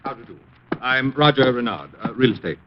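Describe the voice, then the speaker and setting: A man's voice: medium pitch, animated, fairly quick, background noise, close to an everyday voice. An actor playing a nervous, genteel middle-aged reformed swindler in an old radio drama, formal and hesitant in his speech. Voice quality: clicking new false teeth. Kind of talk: 1940s radio acting. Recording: dull vintage radio recording.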